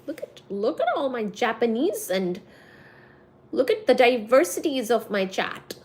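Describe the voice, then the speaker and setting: A woman in her thirties from India, chatting casually with her viewers on a livestream, giggly and quick to get excited reading the chat. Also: a little high. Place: home room with cat shelves.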